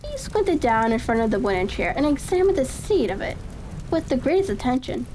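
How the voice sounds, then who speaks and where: High-pitched woman reading a story haltingly. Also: big pitch swings, mellow. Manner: halting, stumbles over phrases. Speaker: audiobook reader. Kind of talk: relaxed storybook reading for ASMR. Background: faint ambient noise.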